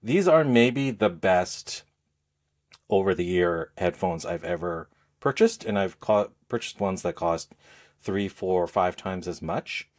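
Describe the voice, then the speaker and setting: A man co-hosting a podcast, chatting casually about gadgets in a halting way as he searches for words. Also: deep voice, animated, a little mellow. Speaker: podcast co-host.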